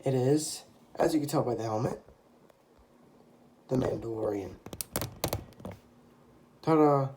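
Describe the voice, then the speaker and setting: Young man, stuffy nose from a cold, mellow tone, chatty and hesitant, phone mic. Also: medium pitch, slow pace, long pauses, slight background noise. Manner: rambling, many ums and self-corrections. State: congested from a cold. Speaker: toy customizer. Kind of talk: casual show-and-tell. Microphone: phone camera.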